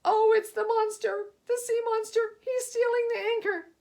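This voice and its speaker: A woman voicing frightened, excitable ship passengers in a children's story, crying out in shrieks. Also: moderate pace, creaky. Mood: panicked. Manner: high, shrieking cries.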